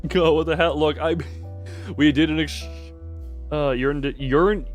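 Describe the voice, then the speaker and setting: A man's voice: on the high side, steady tone, measured pace, faint music behind. A man hosting a casual livestream, chatting with viewers in a jokey, playfully mock-dramatic way. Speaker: livestream host.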